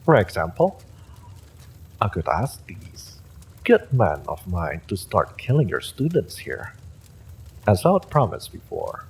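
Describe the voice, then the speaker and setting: An actor playing an evil male sorcerer, smugly polite and sadistic, in a slow, theatrical audio drama full of menace. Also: on the low side, wildly swooping pitch, slightly rough, full character voice. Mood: taunting menace. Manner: slow, deliberate, theatrical threatening delivery. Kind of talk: dramatic audio roleplay monologue.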